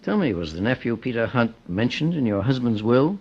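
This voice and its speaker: An American actor playing a calm, formal, authoritative gentleman detective in an old-time radio drama, speaking in a measured, deliberate way. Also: medium pitch, breathy edge. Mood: calm, businesslike. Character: calm, authoritative gentleman private investigator, the boss. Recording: muffled old radio broadcast.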